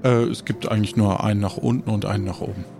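A man's voice: on the low side, fairly even intonation, fast, vocal fry, over loud music. A man narrating an improvised audio adventure game as its game master.

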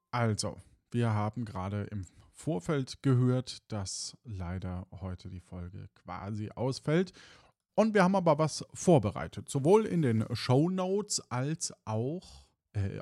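A man's voice: fairly low pitch, a little mellow, no room sound, studio quality. A man speaking informally and loosely as host and game master, chatting to podcast listeners. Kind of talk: casual chat to podcast listeners.

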